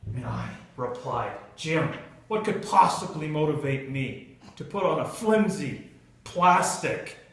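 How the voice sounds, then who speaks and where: A man giving a humorous storytelling speech to an audience in a theatrical manner, with dramatic pauses and stretched emphasis. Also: fairly high pitch, expressive, creaky, fairly bright tone. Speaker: Toastmasters club speaker telling a humorous tale. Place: indoor meeting room with an audience. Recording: echoey room, recorded from far off.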